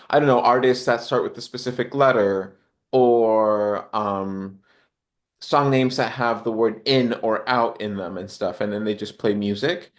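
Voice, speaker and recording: A man from Honduras chatting casually as a podcast guest, in a reflective, rambling way with hesitant phrasing. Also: medium pitch. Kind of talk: casual interview chat.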